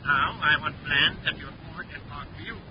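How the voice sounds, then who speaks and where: An actor playing a menacing, scheming space crime boss in 1950s radio serial style, with emphatic, threatening delivery. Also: male voice, a little high, steady tone, moderate pace, close to an everyday voice. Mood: smug menace. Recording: muffled, hissy 1950s radio broadcast.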